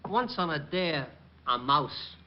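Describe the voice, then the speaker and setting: Easygoing bartender, muffled old radio. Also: male voice, on the high side, expressive, slow, very noisy. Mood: deadpan. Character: easygoing male bartender tending a hungover regular. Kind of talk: 1940s-50s radio drama acting. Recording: dull old radio recording.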